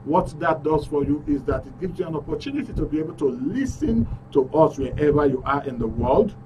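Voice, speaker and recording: A man's voice: on the high side, expressive, a little fast, distant mic, highs cut off, noisy background. A Nigerian man hosting a live call-in radio show from the studio, upbeat as he reads out messages and phone numbers. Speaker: radio talk show host.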